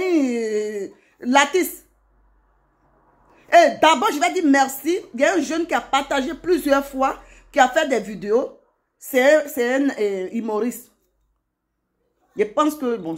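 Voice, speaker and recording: A woman speaking straight to her viewers in an emotional monologue, indignant and pleading, repeating phrases for emphasis. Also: high voice. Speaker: social media commentator.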